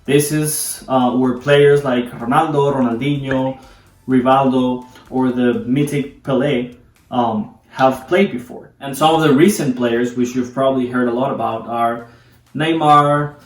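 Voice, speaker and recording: A man's voice: medium pitch, steady tone, a little slow. A man from Colombia, a Spanish speaker, hosting a casual explainer video about a sport. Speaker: video host explaining soccer. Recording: echoey room, distant mic, background music.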